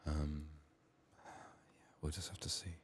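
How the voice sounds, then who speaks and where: A man softly narrating his video game in an ASMR style, musing to himself in a hushed, slightly strained, under-the-weather voice. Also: very deep voice, level tone, measured pace, a little hiss or hum. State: sick, strained voice. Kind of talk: soft-spoken, partly whispered ASMR game commentary.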